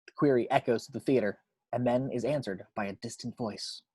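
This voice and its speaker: A man reading screenplay action description as a narrator, with measured, suspenseful storytelling. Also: mid-range voice, dark tone, breathy edge, no room sound.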